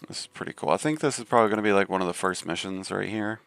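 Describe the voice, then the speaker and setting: A man from the Southern US with a deep voice and a Southern accent, chatting casually on a game live stream. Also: rapid-fire, creaky, fairly bright tone, no room sound. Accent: Southern American. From Southern United States. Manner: laid-back, rambling with many fillers.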